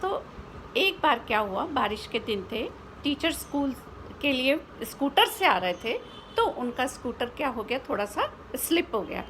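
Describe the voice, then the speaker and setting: A woman's voice: sits high, sing-song, unhurried, a bit bright, slight background noise, low-quality recording. A woman with an Indian accent telling a children's story informally, explaining as she goes with rhetorical questions and mixed-in English words.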